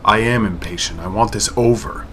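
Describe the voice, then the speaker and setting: A man's voice: lowish voice, moderate pace, a bit bright, a little hiss or hum, close to an everyday voice. A man playing a bitter, defiant human fighter pilot, sullen and sarcastic, in a sci-fi audio drama. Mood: irritated.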